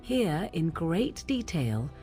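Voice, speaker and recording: Fantasy game witch: proud, brooding, formal, expressive lowish voice over faint music. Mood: troubled. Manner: theatrical, formal, deliberate. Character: proud, brooding young witch with archaic speech. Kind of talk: video game voice acting.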